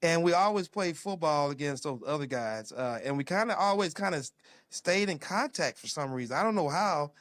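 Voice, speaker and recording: Animated man telling football stories. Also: a little high, brisk, dry recording, clean studio sound. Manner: animated storyteller with laughs. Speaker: former football player, guest. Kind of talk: casual reminiscing conversation.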